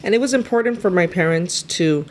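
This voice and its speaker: Low-voiced woman telling her story. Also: brisk, a little hiss or hum. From East Los Angeles. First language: Spanish. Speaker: lawyer guest. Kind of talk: conversational interview.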